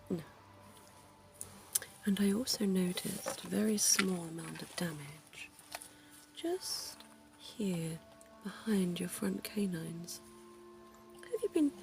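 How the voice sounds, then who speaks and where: A woman voicing a suave, centuries-old vampire dentist in an ASMR roleplay, whispering softly with genteel, teasing charm. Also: mid-range voice, vocal fry. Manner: soft whispering, slow and intimate; genteel and teasing, quiet chuckles.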